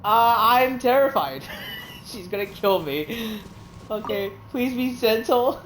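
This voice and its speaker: A woman's voice: fairly high pitch, expressive, slow, slight background noise, poor audio. A woman streaming a video game, rambling in casual commentary, swearing and laughing often.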